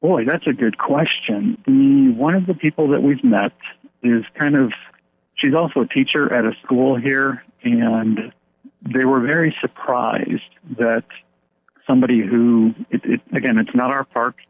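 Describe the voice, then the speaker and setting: A man's voice: mid-range voice, measured pace, a little hiss or hum. A man, a pastor from the United States, talking in an earnest, rambling way with many fillers and restarts during a phone interview. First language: English. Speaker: pastor of an English-speaking church abroad. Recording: tinny phone line.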